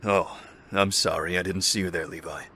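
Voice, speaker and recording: A man playing a calm, courteous, concerned leader in an apocalypse audio drama, in a gravelly, measured voice. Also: low-pitched, heavily acted. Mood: gentle, concerned. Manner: measured, polite. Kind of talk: fan audio drama acting.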